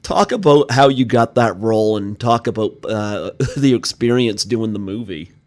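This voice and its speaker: A man's voice: on the low side, normal speed. A man hosting a podcast interview, enthusiastic and laughing often as he sets up his questions. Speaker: podcast host. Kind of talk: casual interview.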